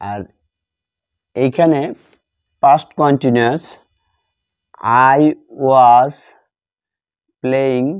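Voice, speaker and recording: A man with a Bengali accent teaching grammar, dictating deliberately word by word and repeating phrases. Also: mid-range voice, fairly even intonation, a little mellow, smooth, no echo. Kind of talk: instructional lesson, bilingual grammar explanation. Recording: dull lo-fi sound.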